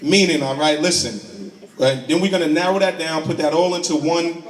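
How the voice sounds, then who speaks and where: A man hosting a public meeting, giving informal, halting instructions and rephrasing as he goes. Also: a little high. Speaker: meeting host. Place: large indoor community hall. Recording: echoey hall, distant microphone.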